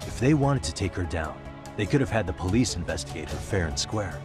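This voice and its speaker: An actor playing a cool, serious detective in a video game drama, probing and reasoning aloud. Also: male voice, deep voice, sing-song, fairly quick, over music. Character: cool, sharp-minded young male detective, probing and serious.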